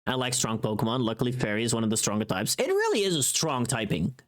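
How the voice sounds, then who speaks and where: Animated livestreamer chatting casually, pitch swinging widely. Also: quick pace. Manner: animated, informal, sweary. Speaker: livestream host. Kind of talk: casual livestream chat with viewers.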